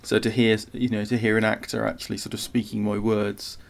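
A man speaks casually as a writer being interviewed. His voice is on the low side with a gravelly creak, and his intonation stays very flat. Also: brisk. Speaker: short story writer. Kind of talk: casual interview talk about writing.

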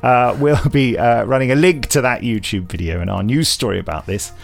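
A man's voice: mid-range voice, fairly quick, vocal fry, soft background music. A British man hosting a podcast, chatting casually about news in a hesitant, rambling way. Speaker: podcast host. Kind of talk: casual chat about audio drama news.

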